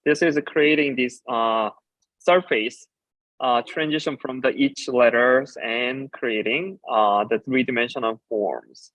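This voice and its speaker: A man whose first language is Korean gives a conference talk as a designer and ceramicist, explaining his slides with frequent filler pauses. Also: medium pitch, a bit bright, dry recording, low-quality recording. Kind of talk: conference presentation about slides.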